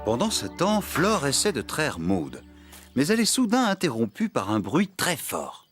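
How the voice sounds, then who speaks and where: Animated male children's story narrator. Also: fairly high pitch, a little slow, music in the background.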